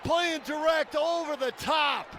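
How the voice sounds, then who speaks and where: Man, live soccer commentary, excited, projecting, very high pitch, sharp tone, faint background noise. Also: measured pace. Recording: noisy stadium broadcast clip.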